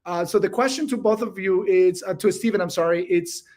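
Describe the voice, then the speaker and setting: High-voiced chatty male host. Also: fairly quick, darkish tone. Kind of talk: casual interview hosting.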